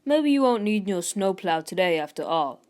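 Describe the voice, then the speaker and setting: A woman's voice: medium pitch, sing-song, moderate pace, darkish tone, natural voice. Woman reading a kindly, cautious engine driver. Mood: reassuring. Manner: reassuring, practical.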